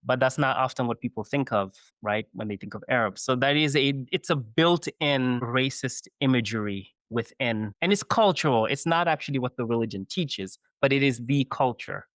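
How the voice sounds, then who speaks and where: A man of middle pitch talking through ideas in a thoughtful, explanatory way, often tagging his points with "right?". His voice sits close and dry on the mic, with no room around it. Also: moderate pace, few pauses, fairly bright tone, dry recording. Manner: thoughtful, explanatory, frequent "you know" and "right?". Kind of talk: conversational interview.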